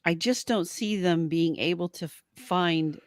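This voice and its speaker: Female podcast co-host, lowish voice, casual live fan chat, close dry sound. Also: a little slow, dry recording.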